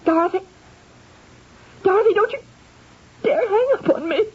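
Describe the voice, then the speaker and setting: An actress playing an effusive, touchy, anxious wife in an old-time radio drama, earnest and theatrical. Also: female voice, very high voice, normal speed. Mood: offended. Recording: muffled, noisy old radio recording.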